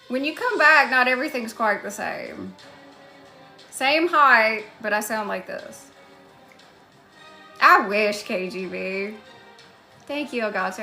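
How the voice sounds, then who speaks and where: A woman's voice: medium pitch, pushed and bright. A woman live streaming, chatting casually and thanking viewers by name as she goes.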